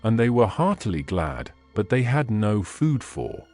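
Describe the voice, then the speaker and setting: A man reading fairy tales as a scripted audiobook narration, in measured, expressive storybook phrasing. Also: on the low side, soft background music.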